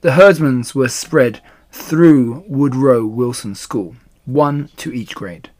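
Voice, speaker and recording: A man reading a children's storybook aloud in an expressive style. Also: medium pitch, takes it slowly. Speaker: storybook reader.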